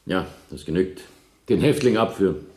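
A presiding judge conducts questioning in a radio play. His voice is fairly low and echoes through the court. He is formal, stern and authoritative. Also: lively intonation, normal speed, darkish tone, a little hiss or hum. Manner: formal, interrogating. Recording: echoey 1970s radio drama studio.

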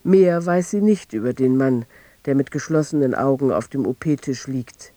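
An actor narrating a literary radio drama as a detached observer, measured and mellow. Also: male voice, mid-range voice, no echo, lightly acted. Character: detached male narrator noting times, places and people.

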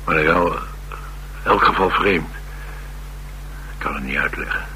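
An actor with a Netherlands Dutch accent playing a troubled, blunt ex-soldier and restless drifter in a radio drama, wry and halting in delivery. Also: male voice, rumbling low, pushed and bright, heavily acted, poor audio. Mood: troubled, uneasy. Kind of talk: radio drama dialogue acting. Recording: radio drama with clear hiss and hum.

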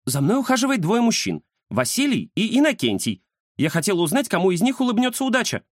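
Man playing a shrill, huffy lady journalist. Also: wildly swooping pitch, fast talker, dry recording, clean studio sound, exaggerated voice. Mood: coy, eager. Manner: huffy, self-important. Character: vain, indignant woman journalist, haughty and touchy.

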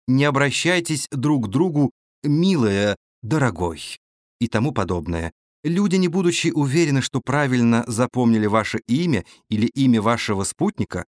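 A man's voice: medium pitch, no echo, studio quality. A man reading a scripted advice audiobook as narrator, addressing the listener directly in an instructive way. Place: recording studio.

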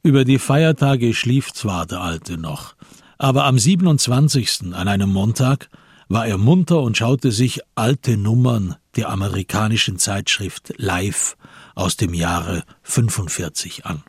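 A man narrating a radio crime play in a husky voice, with measured, literary storytelling. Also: on the low side, no room sound, studio quality. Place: dry radio studio.

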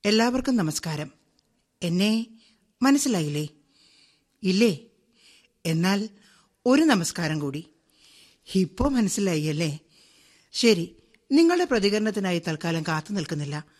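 A woman's voice: mid-range voice, sing-song, measured pace, pauses often, a little mellow. An actress playing a proud woman who speaks for all Indian women, greeting listeners directly and playfully. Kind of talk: radio drama monologue.

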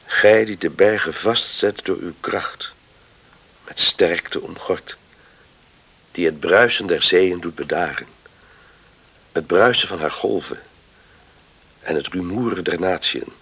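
An actor playing a grave, plain-spoken island man in a radio drama, with a gravelly voice and a solemn, measured manner. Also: deep voice, pushed and bright, full character voice. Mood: solemn reading.

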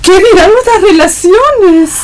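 A woman's voice: extremely high pitch, unhurried, a little hiss or hum. A woman acting a scandalized, snobbish mother in a radio play, exclaiming theatrically.

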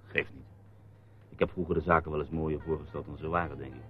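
A man's voice: very deep voice, steady tone, quick pace. A man acting in a radio drama as a reporter husband, evasive and teasing, with a wry, placating manner. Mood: placating, wistful. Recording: dull, muffled radio play recording.

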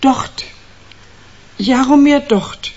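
An actress playing an anxious woman client of about sixty in a radio play, hesitant and earnest with a husky voice. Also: high-pitched, extremely slow, darkish tone, muffled recording, heavily acted. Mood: worried, earnest. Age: older. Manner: hesitant, earnest, self-correcting.

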